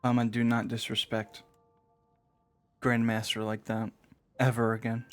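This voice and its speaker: A man's voice: mid-range voice, monotone, a little slow. A man livestreaming a game with casual, offhand commentary, slangy and given to swearing. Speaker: game streamer. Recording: game music behind the voice. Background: game music.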